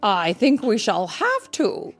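A woman's voice: medium pitch, wildly swooping pitch, slow, faint music behind, full character voice. A woman voicing a caring, sensible mother bear in a children's storybook, emphatic and instructive. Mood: reassuring.

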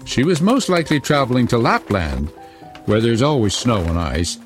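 A man voicing wood pigeons in a storybook, speaking slowly and solemnly. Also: medium pitch, huge pitch swings, soft background music. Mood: telling. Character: gentle cooing wood pigeons.

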